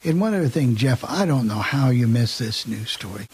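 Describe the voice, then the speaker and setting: A man's voice: medium pitch, lively intonation, moderate pace, a little mellow, husky. A man hosting a casual radio talk show in an informal, teasing way.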